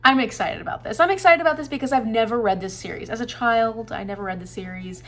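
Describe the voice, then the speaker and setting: A woman's voice: fairly high pitch, fast, a bit bright. A young woman chatting casually in an upbeat, enthusiastic vlog, laughing often. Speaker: booktuber.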